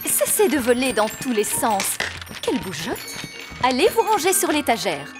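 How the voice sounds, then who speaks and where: Gentle fairy queen, highish voice, very melodic, patient, proper, children's cartoon. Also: moderate pace, over music. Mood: scolding. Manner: patient, expressive storytelling delivery. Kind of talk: French-dubbed children's cartoon acting.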